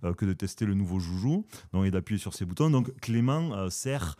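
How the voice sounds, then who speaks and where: A man's voice: low-pitched, lively intonation, fairly quick, mellow. A man with a southern French accent hosting a casual philosophy podcast, hesitant with many fillers and playful asides. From southern France. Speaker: podcast host.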